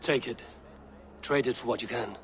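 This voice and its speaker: A man's voice: mid-range voice, normal speed, fairly bright tone, a little hiss or hum, natural voice. An actor playing a fierce, proud male Viking warrior who speaks bluntly and hurls insults. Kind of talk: video game cutscene acting.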